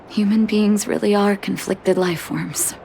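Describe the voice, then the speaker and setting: Gentle, composed game doctor, medium-pitched. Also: female voice, steady tone, takes it slowly, vocal fry, a little hiss or hum. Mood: sad, reflective. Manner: soft, reflective, sighing. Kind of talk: video game story dialogue. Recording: background game music.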